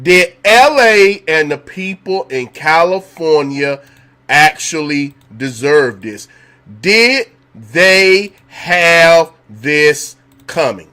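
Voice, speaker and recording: A man with a slightly hoarse voice hosts a casual live call-in show from his home. He speaks slowly in a fairly high voice. He tends to repeat his questions for emphasis. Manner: relaxed, chatty, repeats questions for effect. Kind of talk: casual live-stream talk.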